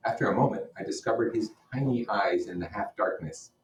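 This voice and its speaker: A man's voice: on the low side, brisk, a little hiss or hum. A man teaching, reading a novel aloud in an informal way with blunt asides. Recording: roomy echo, distant microphone.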